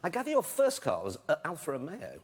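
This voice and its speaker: A man's voice: fairly high pitch, huge pitch swings, brisk. A man hosting a studio chat show, interviewing a guest in a teasing, jokey way. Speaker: host. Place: TV studio with live audience. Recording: cheering studio crowd and noise.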